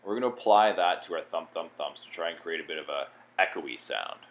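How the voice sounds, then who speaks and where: A man presenting a software tutorial in a plain-spoken, explanatory way. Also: deep voice, fairly quick, background noise. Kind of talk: instructional software walkthrough.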